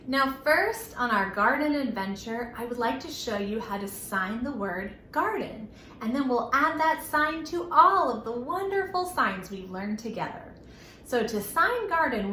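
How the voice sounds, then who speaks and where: Slow, lilting woman teaching young children. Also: sits high, bright, forceful tone. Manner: sing-song, simple phrasing with emphatic words. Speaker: children's library storytime host. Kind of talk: children's lesson with rhymes and questions to young viewers. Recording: roomy echo with background noise.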